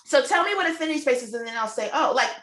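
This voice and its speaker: A woman's voice: high-pitched, expressive, very fast, a bit bright. A middle-aged woman hosting a casual conversational interview, effusive and repeating phrases for emphasis. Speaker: show host and children's book author.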